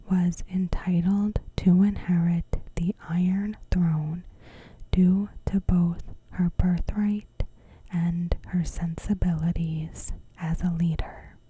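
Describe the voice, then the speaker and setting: A woman speaks in a hushed, breathy ASMR narration, extremely slow and deliberate. Her tone is very dark and mellow, on the low side. She reads in gentle short phrases over a faint hiss. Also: low-quality recording. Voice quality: whispery, breathy soft voice. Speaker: ASMR creator recapping a TV episode. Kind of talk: ASMR, scripted recap narration.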